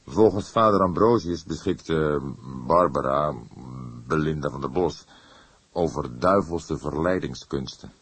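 A man playing a seasoned, thoughtful older police inspector in an audiobook, speaking in a measured, deliberate way. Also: very deep voice, breathy edge, no echo, full character voice. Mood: grave, deliberate. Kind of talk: audiobook character acting.

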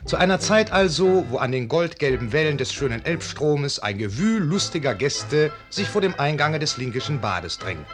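A man narrating a literary radio play as a storyteller, measured and formal toward the listener. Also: on the high side, very animated. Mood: measured, storytelling. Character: storyteller addressing the gentle listener. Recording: faint music behind.

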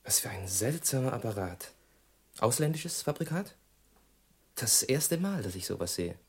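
An actor in a German radio play, playing an earnest, wary engineer in a measured, matter-of-fact manner. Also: male voice, mid-range voice, very animated, noisy background. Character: male bridge engineer, earnest, wary, upright husband.

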